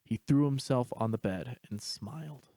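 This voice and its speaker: A man's voice: medium pitch, moderate pace, warm tone, dry recording, studio quality. A man reading scripted story narration as the narrator.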